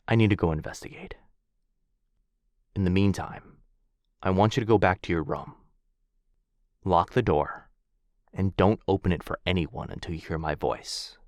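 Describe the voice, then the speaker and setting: A man's voice: sits low, normal speed, long pauses, a little mellow, no room sound, studio quality, natural voice. A man playing a courtly, protective vampire lord, tender but fierce, in an intimate boyfriend ASMR roleplay. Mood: hushed concern.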